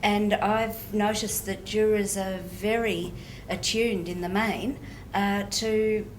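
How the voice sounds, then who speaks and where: A woman's voice: medium pitch, steady tone, takes it slowly, a little hiss or hum. A woman barrister sharing her observations thoughtfully in a panel discussion.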